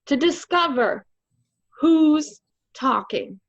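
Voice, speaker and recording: Female comedy narrator, high and lively, mock-sweet, sassy, sarcastic scripted delivery. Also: extremely slow, muffled recording. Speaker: comedy troupe performer, narrator.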